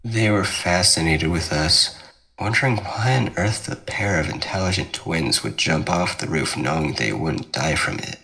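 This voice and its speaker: A young male ghost twin played by a man speaks in a deep voice with a sharp, forceful edge. He teases and coaxes in a measured way, with obsessive menace, as in an ASMR horror roleplay. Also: lively intonation, gravelly, exaggerated voice, rough recording. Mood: darkly fascinated. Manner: taunting, measured, darkly coaxing.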